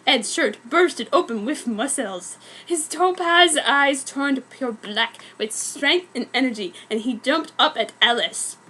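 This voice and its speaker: A woman with a notably high voice narrates amateur fan fiction as a dramatic reading. Her delivery is theatrical, and she sounds easily amused by the material. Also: expressive, unhurried, pushed and bright, breathy edge, low-quality recording. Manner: theatrical, often breaking into giggles.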